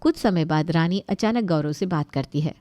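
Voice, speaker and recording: A woman's voice: on the low side, animated, normal speed, warm tone, no room sound. Woman narrating a story, dry recording.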